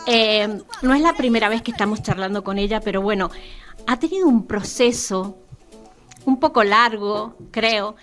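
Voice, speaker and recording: Brief woman host, mid pitch, chatty radio interviewer, faint music under. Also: a bit bright. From Argentina.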